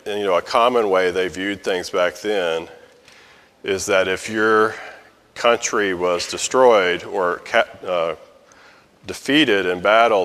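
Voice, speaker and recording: A man leading a casual Bible study class, explaining a passage in a relaxed, conversational way with frequent fillers. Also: low-pitched. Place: church meeting room. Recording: echoey hall with long reverb.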